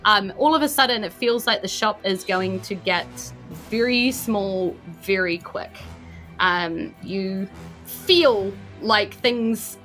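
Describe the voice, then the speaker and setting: A woman with a New Zealand accent narrating a tabletop game as game master, in an informal, halting, descriptive way. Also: medium pitch, a bit bright, faint music behind.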